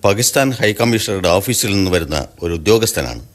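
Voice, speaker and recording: A man playing a government official in a radio drama, formal and measured, dropping English apologies. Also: on the low side, expressive, lightly acted. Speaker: official from the high commission.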